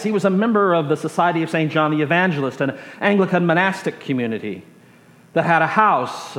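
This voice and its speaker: Higher-voiced American preacher in an echoey room. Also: male voice, a bit bright. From Texas, USA. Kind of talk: sermon storytelling.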